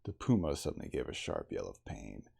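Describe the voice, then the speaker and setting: A man reading a classic novel aloud as ASMR, in a soft, intimate, slow dramatic storytelling manner. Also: a bass voice, sing-song, creaky, breathy edge. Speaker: audiobook reader in character as the narrator.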